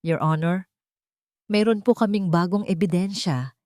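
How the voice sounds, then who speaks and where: A woman plays a relentless male attorney in an audio drama. The voice sits slightly low and is recorded very dry, with deliberate, formal, pressing delivery. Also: a little mellow, no room sound, lightly acted. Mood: stern, pressing. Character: stern, relentless male attorney cross-examining a witness.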